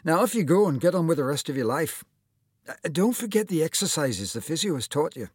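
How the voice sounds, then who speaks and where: A man's voice: fairly high pitch, very animated, brisk, husky, no echo, studio quality, pitched above the performer's own voice. A man voicing a kindly, encouraging older male surgeon.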